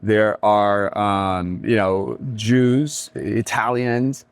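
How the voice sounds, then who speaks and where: A middle-aged man from Jamaica talking reflectively as an interview guest, pausing often mid-thought. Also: on the low side, steady tone, clean tone, dry recording. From Kingston, Jamaica. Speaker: restaurateur and community leader, podcast guest.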